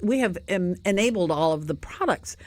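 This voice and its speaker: A middle-aged woman hosting a radio talk show, chatty and animated, telling stories with frequent laughs. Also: fairly low pitch, normal speed. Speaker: radio host.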